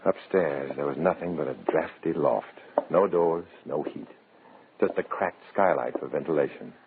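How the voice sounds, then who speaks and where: A man's voice: very deep voice, moderate pace. An actor playing a tense, hard-boiled undercover agent, narrating his own story in clipped, dramatic 1950s radio suspense style. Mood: tense, grim. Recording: crackly, narrow-band old radio recording.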